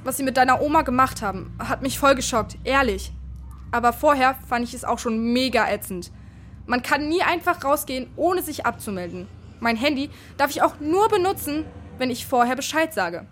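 A woman's voice: sits high, expressive, normal speed, fairly bright tone, slight background noise. A woman playing an eager schoolgirl double agent in a children's radio play, earnest and insistent.

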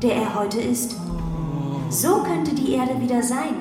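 An actress playing a grand, solemn female leader giving a formal, ceremonious welcome speech in a radio drama. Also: a little high. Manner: ceremonious, measured oratory. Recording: echoing hall, distant, music over it. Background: music.

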